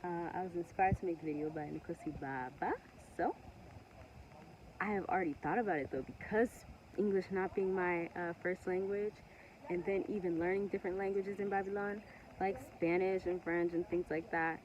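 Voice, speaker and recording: A woman from Togo whose first language is Ewe, talking earnestly and passionately to camera with many pauses and restarts. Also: lowish voice, steady tone, a little mellow, dull audio, a little hiss or hum. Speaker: vlogger. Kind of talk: casual monologue to camera.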